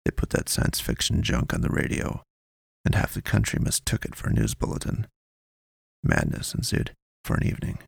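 A secretive male informant from a noir audio story voiced by a narrator. He talks low and gravelly, hushed and grave in old slang, close and dry. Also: wildly swooping pitch, moderate pace, no room sound, exaggerated voice.

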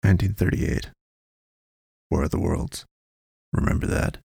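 A secretive male informant from a noir audio story voiced by a narrator. He talks low and gravelly, hushed and grave in old slang, close and dry. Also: fairly even intonation, unhurried, no echo, full character voice.

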